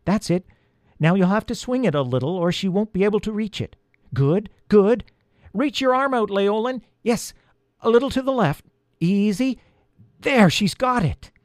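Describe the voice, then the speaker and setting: A man's voice: high-pitched, lively intonation, normal speed, no room sound, clean studio sound, pitched above the performer's own voice. A man voicing a helpful female rabbit in a storybook, giving careful, coaxing directions. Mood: focused coaxing.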